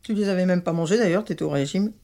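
A woman's voice: fairly low pitch, very fast, vocal fry, warm tone. An actress playing a touchy, fussy middle-aged mother in a podcast drama, nagging and plaintive, laying on guilt. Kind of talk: naturalistic French fiction podcast acting.

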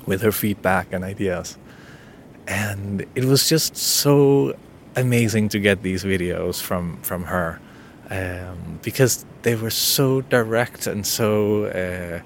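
Soft-spoken Danish man, hesitant and intimate. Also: lowish voice, a little hiss or hum. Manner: hesitant, many restarts and fillers, intimate. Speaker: podcast host. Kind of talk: unscripted solo podcast monologue.

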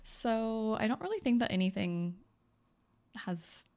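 A woman's voice: on the high side, lively intonation, a little slow, darkish tone. A young woman co-hosting a podcast in casual chat, laughing often and trailing off. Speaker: podcast co-host.

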